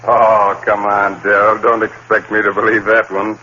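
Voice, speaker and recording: A jovial, teasing friend. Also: male voice, on the low side, little pitch movement, normal speed, sharp tone. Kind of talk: 1930s radio drama acting. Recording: dull old radio broadcast with hiss.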